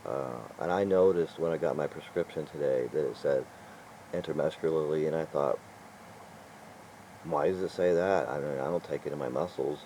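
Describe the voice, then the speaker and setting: An older man with a low, mellow voice chats loosely to the camera. He talks in an exasperated, meandering way, chuckling and trailing off between thoughts. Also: normal speed, a little hiss or hum, low-quality recording. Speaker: vlogger. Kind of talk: casual rambling vlog talk.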